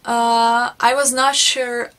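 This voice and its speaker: A young Hungarian woman with a Hungarian accent, telling her life story in English for her vlog, reflective and unhurried. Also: on the high side, level tone, a bit bright. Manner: unhurried, reflective, frequent restarts. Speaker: vlogger.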